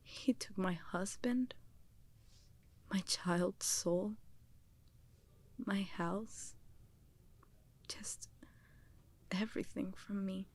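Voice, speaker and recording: A woman playing a grieving young widow in an audio drama, speaking in a hushed, breathy voice. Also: mid-range voice, extremely slow, pauses often, in a whisper. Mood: broken, desolate. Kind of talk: audio drama with musical numbers.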